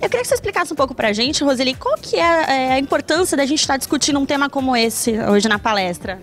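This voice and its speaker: A young woman reporter asking brisk questions into a handheld mic in a large meeting hall. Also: sits high, expressive, faint music behind.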